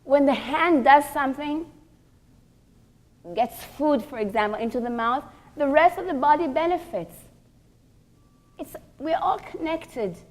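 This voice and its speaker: Lady teaching a religion class, kinda high voice, really slow, repeats stuff for emphasis. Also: darkish tone. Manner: emphatic, repeats key phrases for effect; rhetorical questions. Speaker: religious teacher giving a lecture to women. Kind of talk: religious lecture.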